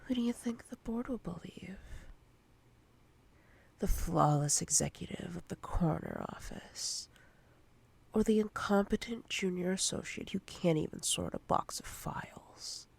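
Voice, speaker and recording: A woman playing a domineering, menacing corporate boss in a breathy roleplay ASMR whisper, commanding and condescending in a deliberate way. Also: lowish voice, lively intonation, exaggerated voice. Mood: menacing, controlling.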